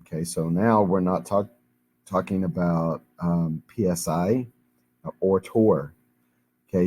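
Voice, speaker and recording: A man teaching a chemistry lesson while hoarse and losing his voice, explaining each step patiently with frequent ums. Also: low voice, warm tone, slight background noise, rough recording. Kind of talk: recorded classroom lecture.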